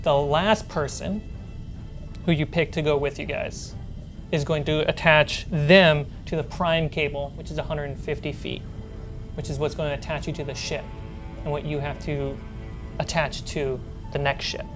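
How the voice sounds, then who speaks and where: A low-pitched woman acts as game master for a tabletop role-playing session. She explains the scene step by step, with music playing behind her. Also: steady tone, moderate pace. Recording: background music under the voice.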